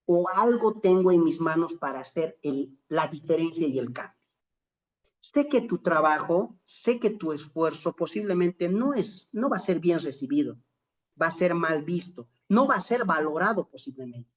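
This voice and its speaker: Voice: medium pitch, animated, normal speed. A middle-aged lecturer with a Bolivian accent giving a reflective, motivational class to fellow teachers, emphatic, stretching out key phrases and posing rhetorical questions. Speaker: lecturer in an online teacher-training course.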